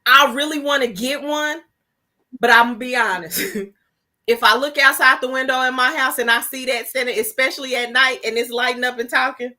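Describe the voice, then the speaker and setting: A woman's voice: high voice, steady tone, brisk, pushed and bright. A woman guest on a podcast, chatting casually and telling a self-mocking story with lots of expression. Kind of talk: casual chat.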